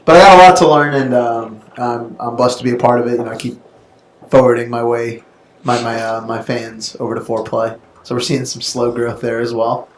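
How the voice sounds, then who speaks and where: A man's voice: medium pitch, flat delivery, fairly quick. A man in his twenties co-hosting a podcast with friends, chatting in a laid-back way and laughing often. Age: 20s. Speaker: podcast co-host. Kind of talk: casual chat among friends. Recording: echoey room with faint noise.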